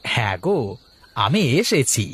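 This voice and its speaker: A man's voice: medium pitch, sing-song, unhurried, fairly bright tone, slight background noise. A man playing a humble, cheerful blind village fish seller in a cartoon, with an eager, simple, folksy manner. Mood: content. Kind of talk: cartoon voice acting.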